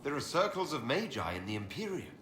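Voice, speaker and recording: An actor as an inquisitive, expressive male adventurer. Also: medium pitch, a little fast, echoey room, background noise, close to an everyday voice. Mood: probing. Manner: plain, inquisitive. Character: curious, earnest male fantasy adventurer asking questions. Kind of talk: video game dialogue acting.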